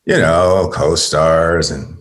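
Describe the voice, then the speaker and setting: An actor playing a vain, dim, evasive movie star with a halting, sheepish manner in a scripted table read. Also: male voice, extremely low pitch, steady tone, background noise. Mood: awkward.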